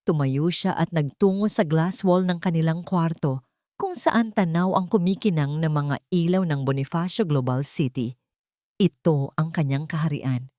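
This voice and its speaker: Low-pitched woman narrating a dramatic story. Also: dark tone, dry recording, clean studio sound.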